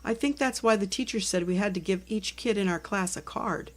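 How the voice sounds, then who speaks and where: A woman voicing a kind, fair-minded young schoolgirl in a storybook, earnestly explaining in a gently serious way. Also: medium pitch, brisk, lightly acted.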